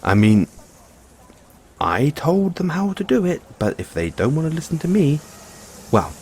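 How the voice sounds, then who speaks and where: A man playing an excitable, affectionate mad scientist boyfriend in an intimate audio roleplay, musing haltingly to himself and his partner. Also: on the high side, breathy edge, heavily acted. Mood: fascinated, geeky. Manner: musing, halting, chuckling asides, drawn-out reactions.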